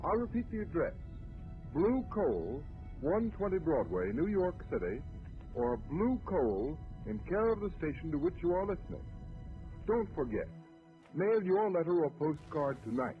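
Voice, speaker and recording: A man's voice: a little high, big pitch swings, moderate pace, soft background music. A male radio announcer with an emphatic, salesman-like delivery in 1940s radio style. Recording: dull old radio broadcast.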